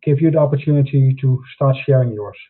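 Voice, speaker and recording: A man hosting a live online interview, talking haltingly with many fillers and restarts over a video call. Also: medium pitch, husky. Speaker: host. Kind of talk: hesitant live interview introduction. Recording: tinny phone-like audio.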